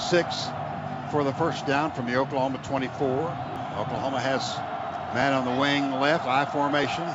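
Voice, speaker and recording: An American man calling football play-by-play on the radio, with clipped phrases and folksy exclamations. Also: mid-range voice. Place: stadium radio booth. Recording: muffled radio broadcast, loud stadium noise. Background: loud crowd and stadium ambience.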